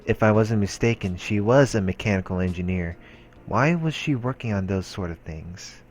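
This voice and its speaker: Male let's player, lowish register, informal narration-plus-commentary read, occasional stumbles, music underneath. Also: normal speed. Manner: informal, stumbles while reading, exclaims. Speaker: let's play host reading a visual novel aloud. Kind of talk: casual commentary mixed with reading game narration aloud. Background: background music from the game.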